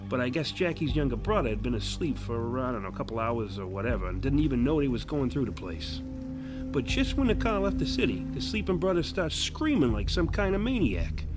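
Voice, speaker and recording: An actor playing a crude, sarcastic, shady bus driver who talks in a rambling, colloquial, hesitant way in a horror audio drama. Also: male voice, mid-range voice, close to an everyday voice. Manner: colloquial, hesitant drawn-in storytelling, sardonic. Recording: voice over clear background music.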